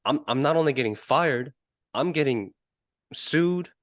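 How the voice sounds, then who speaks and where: A man co-hosting a sports podcast in casual discussion, arguing his points haltingly and often repeating words. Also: on the high side, animated, dry recording, studio quality. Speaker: podcast co-host.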